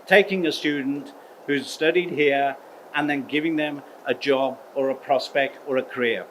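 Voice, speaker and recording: Middle-aged man from the UK, engineering department head, calm formal speaker, mid-pitched, faint background hiss. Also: rough recording. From United Kingdom. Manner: measured, uses "okay?" as a check-in. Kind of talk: formal address to students.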